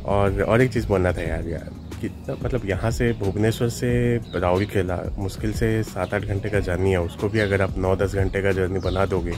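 A man in his forties talks in a relaxed, chatty way, with lots of filler sounds, as he gives travel updates into a handheld camera outdoors. His voice sits a little low. Clear music plays under him. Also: moderate pace, hardly any pauses. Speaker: travel vlogger. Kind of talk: casual travel vlog updates. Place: outdoors on railway platforms and a garden. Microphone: handheld camera selfie framing.

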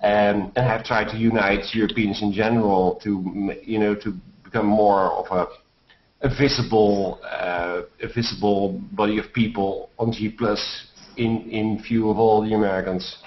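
Older man, low voice, rambling and hesitant, roomy dull headset audio. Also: fairly even intonation, measured pace. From Netherlands. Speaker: panelist. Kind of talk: self-introduction on video call. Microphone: headset on video call. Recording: echoey dull video call audio.

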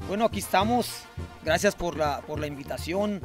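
A man from Jalisco, Mexico, a singer answering interview questions outdoors in a hesitant, halting way. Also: high voice, expressive, over music. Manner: hesitant, halting, many restarts. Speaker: singer guest. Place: outdoor fairground patio.

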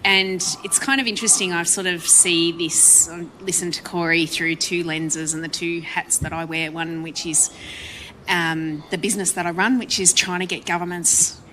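An Australian woman speaking on a public panel, chatty and relaxed, with many ums. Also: lowish voice, moderate pace, a little hiss or hum, rough recording. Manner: chatty, frequent ums, laughs easily. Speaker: panel guest, council presiding member. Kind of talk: panel discussion talk.

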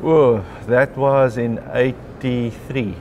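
A man in his fifties with a South African accent, telling his story in a relaxed conversational interview, recorded on a lapel mic in a large glass atrium. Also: mid-range voice, slow, highs cut off, slight background noise. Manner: chatty, frequent ums and clears throat. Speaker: interviewee, mycologist.

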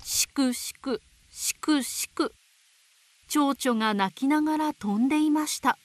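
A woman reading picture books aloud to babies in a gentle, sing-song way, dwelling on playful sound words. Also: high-pitched, unhurried, a little hiss or hum. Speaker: storybook narrator voicing an owl. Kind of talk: children's picture book read-aloud.

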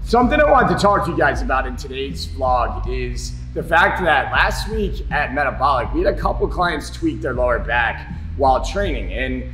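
A man's voice: mid-range voice, big pitch swings, moderate pace, a bit bright. A man in his thirties with a slight chest cold, giving emphatic, informal fitness instruction to camera as a coach. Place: roomy gym, indoors. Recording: echoey gym room.

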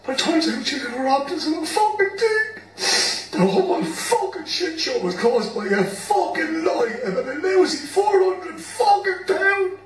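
A man's voice: extremely high pitch, big pitch swings, a little slow, few pauses, pitched above the performer's own voice, exaggerated voice. A man playing a blunt, hot-tempered, wounded Irish bandmate in a radio play. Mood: furious. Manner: blunt, emotional, sweary. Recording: roomy, distant mic, background noise.

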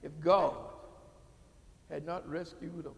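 An older man preaching a sermon from a church pulpit into a podium microphone, building from quiet talk into fervent, rising calls to the congregation. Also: on the high side, little pitch movement, slow pace, vocal fry. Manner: preaching with rising call-and-response intensity. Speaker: pastor. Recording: church hall reverb.

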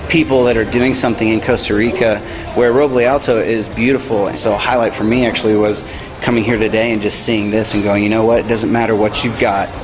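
Man, children's-center founder, steady encouraging testimony, low even voice. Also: quick pace, music in the background. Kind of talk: earnest testimony. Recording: slightly muffled recording.

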